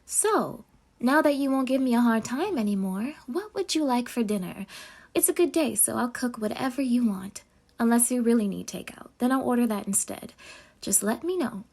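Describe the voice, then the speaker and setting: A woman's voice: on the high side, expressive, normal speed, a little mellow. A woman playing a possessive, sweetly menacing yandere girlfriend in an intimate ASMR roleplay, cooing tenderly and slipping into threatening near-whispers. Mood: sugary, controlling. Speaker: audio roleplay performer.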